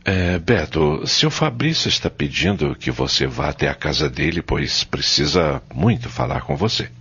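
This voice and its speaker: An actor playing a hesitant, courteous middle-aged father in a Brazilian radio drama, speaking haltingly with a husky voice. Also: a bass voice, animated, a bit bright, full character voice. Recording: dull lo-fi audio.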